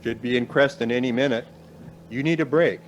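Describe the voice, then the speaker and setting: An actor playing a cautious, pedantic older professor in a naturalistic radio play, formal, dry and reserved in manner. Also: male voice, medium pitch, brisk, muffled recording, slight background noise, lightly acted. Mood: dry, measured.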